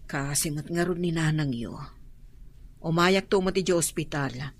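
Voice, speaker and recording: A woman's voice: low-pitched, a little slow. An actress with an Ilocano Filipino accent playing a caring, inquisitive older woman neighbour in a radio drama. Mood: concerned.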